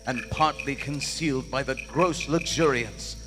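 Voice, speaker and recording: An actor playing a nervous, loyal gentleman who narrates a horror tale in formal, florid style for a live radio play. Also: mid-range voice, measured pace, natural voice. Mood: haunted, solemn. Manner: formal, florid, dramatic delivery. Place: on stage in a large theater. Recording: live theater hall reverb, music behind. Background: music.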